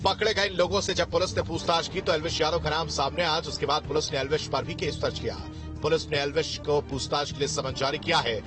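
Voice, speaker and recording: A man's voice: a little high, fairly even intonation, fast. A man narrating a scripted news report in a dramatic, emphatic, sensational voice-over style. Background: loud music bed.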